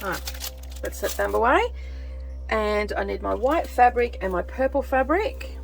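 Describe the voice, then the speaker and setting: Chatty woman with a croaky voice. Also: medium pitch, lively intonation, unhurried, over music, low-quality recording. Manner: chatty, thinks aloud with many ums. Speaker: craft vlogger. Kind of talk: casual craft vlog chat.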